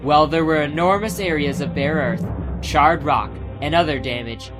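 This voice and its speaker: A man's voice: fairly high pitch, normal speed. Man narrating fantasy story over music. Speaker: audiobook narrator. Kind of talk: scripted dramatic story narration. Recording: music behind the voice.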